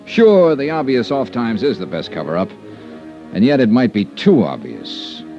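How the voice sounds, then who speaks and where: An actor playing a tough, probing insurance investigator, sardonic and clipped, in 1950s radio detective style. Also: male voice, mid-range voice, sing-song, normal speed, dark tone, natural voice. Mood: brisk narrating. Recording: dull old radio recording, music.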